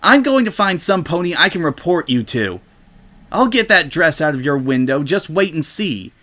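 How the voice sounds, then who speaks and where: Brash young pegasus pony heroine, male reader, high-pitched, protesting and defiant, for a comic story reading. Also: sing-song, normal speed, bright, forceful tone. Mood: furious, defiant. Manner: defiant, protesting, hot-headed.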